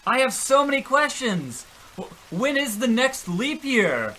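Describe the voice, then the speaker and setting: A man's voice: extremely high pitch, sing-song, measured pace, sharp tone, exaggerated voice, poor audio. A man playing an oblivious, self-pitying husband in a comedic radio play, earnest, defensive and rambling. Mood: earnest, melodramatic. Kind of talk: comedic old-time radio play acting.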